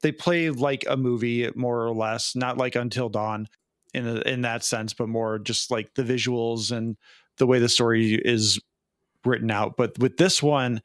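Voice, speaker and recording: A man's voice: medium pitch, steady tone, measured pace, no room sound, studio quality. A man co-hosting a podcast, giving casual, wry and opinionated commentary. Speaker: podcast co-host. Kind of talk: casual film and game commentary.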